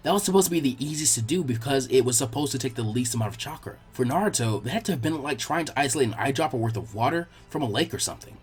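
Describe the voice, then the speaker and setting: A man reading fan fiction aloud as an expressive scripted narration. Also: medium pitch, quick pace, few pauses, faint music behind.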